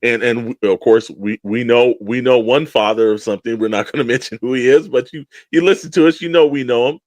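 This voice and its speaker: A man with a medium-pitched voice talks like a podcast co-host giving his opinions. His delivery is casual and rambling, with emphatic points along the way. The sound is dry and close to the microphone. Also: fairly quick, no echo.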